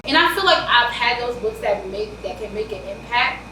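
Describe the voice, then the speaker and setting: An animated woman with a New York accent chats loudly as an interview guest, words tumbling out in quick bursts. Her voice sits a little high. She sounds distant in a roomy space with a faint hiss. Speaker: author guest. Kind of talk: casual interview chat.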